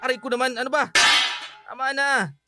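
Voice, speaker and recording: A man's voice: extremely high pitch, fairly even intonation, fairly quick, heavy background noise. A young man with a Filipino accent acting an evasive, teasing teenage best friend in a radio drama. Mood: teasing, smug.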